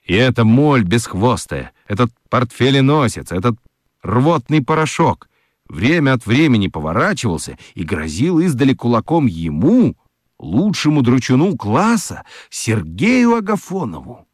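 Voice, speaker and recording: A man narrating a children's radio story with dramatic, expressive delivery and deliberate pauses. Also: mid-range voice, dry recording, studio quality.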